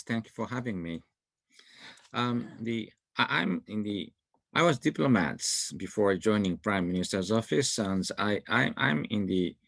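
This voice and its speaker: An older man with a Japanese accent giving a prepared talk as a panel speaker, with halting restarts and humorous asides. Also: fairly low pitch, gravelly, a bit bright, no room sound. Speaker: panel speaker, former government official.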